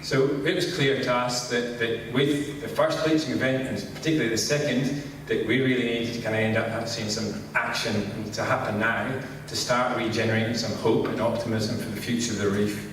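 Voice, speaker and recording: A middle-aged man from Scotland with a Scottish accent, giving a relaxed conference talk and saying "kind of" constantly. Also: mid-range voice, moderate pace, slight background noise. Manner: relaxed, filler-heavy, says "kind of" constantly. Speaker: conference speaker. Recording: echoey hall, distant mic.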